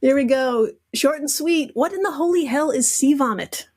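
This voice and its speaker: Woman, higher-pitched voice, playful and sweary, running a casual comedy quiz on a podcast. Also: normal speed, a little mellow. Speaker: podcast guest posing quiz questions.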